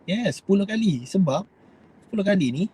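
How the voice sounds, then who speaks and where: Husky Malaysian man, rambling motivational talk. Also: high voice, expressive, brisk, mellow, low-quality recording. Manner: rambling, hesitant, asks viewers to comment. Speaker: host. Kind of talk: casual motivational talk to viewers.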